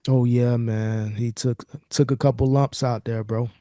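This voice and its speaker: A man's voice: mid-range voice, flat delivery, unhurried, warm tone, no room sound, studio quality. A man hosting a podcast, talking through news in a casual, slangy, laid-back way. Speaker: podcast host. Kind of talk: casual chat and commentary on news.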